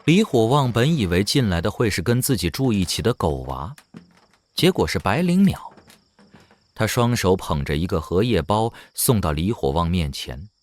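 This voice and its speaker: Low-pitched, expressive male narrator. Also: normal speed. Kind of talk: scripted dramatic story narration.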